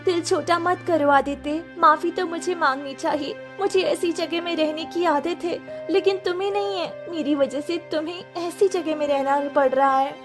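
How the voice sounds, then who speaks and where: A woman voicing a kind, earnest young village wife in an animated moral story. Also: extremely high pitch, normal speed, few pauses, music in the background. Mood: gentle, concerned.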